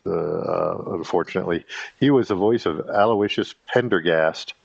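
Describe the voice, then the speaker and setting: A man hosting a podcast in a casual, rambling monologue, halting often with fillers and restarts. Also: low voice, creaky, slightly rough, dry recording. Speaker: podcast host. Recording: dry, dull lo-fi sound.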